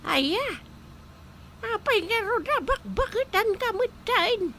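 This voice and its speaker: A woman's voice: very high-pitched, very animated, slow pace, bright, forceful tone, slight background noise, exaggerated voice. A woman playing a blunt, forgetful, suspicious old aunt in an audio drama, with an Ilocano accent and a pinched, querulous voice. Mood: suspicious, probing. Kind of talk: regional audio drama acting.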